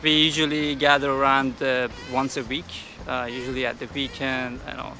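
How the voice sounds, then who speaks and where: A man's voice: medium pitch, flat delivery, normal speed, sharp tone, faint music behind. A young man from Iran, a student giving friendly answers in a casual interview and searching for words. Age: young adult.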